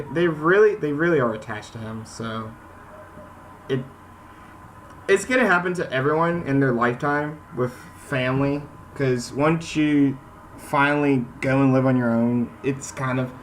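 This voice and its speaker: A man's voice: mid-range voice, a bit bright, a little hiss or hum, poor audio. A man hosting a gaming video, chatting casually and reading game text aloud. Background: game soundtrack music.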